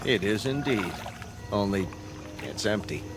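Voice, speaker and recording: Precise, rational space scientist. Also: male voice, on the low side, expressive, measured pace, loud music behind the voice. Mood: observant, dry. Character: rational, thoughtful male scientist crewman. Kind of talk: dramatic science fiction audio drama.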